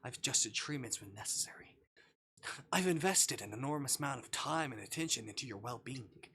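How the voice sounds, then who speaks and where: A man voicing a calm, controlling visual novel kidnapper who speaks quietly and clinically, as if he were a caring partner. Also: mid-range voice, fairly quick, breathy edge, exaggerated voice. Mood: cold, possessive. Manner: quiet, composed, clinical, condescending. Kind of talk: visual novel character reading.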